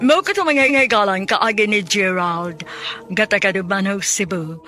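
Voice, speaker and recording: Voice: medium pitch, normal speed, loud music behind the voice. A radio drama announcer with a Cebuano Filipino accent, delivering a scripted programme outro.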